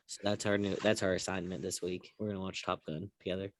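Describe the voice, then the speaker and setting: A man's voice: low voice, flat delivery, fast talker. A man on a sports show panel, chatting and telling stories casually with a dry, snarky edge. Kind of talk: casual banter and storytelling.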